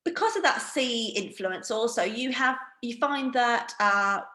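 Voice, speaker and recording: A woman's voice: fairly high pitch, expressive, unhurried, sharp tone, roomy sound. A woman with a Northeast English accent giving a chatty, self-deprecating online wine training session over a video call. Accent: Northeast England. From Northeast England. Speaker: wine educator. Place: home room.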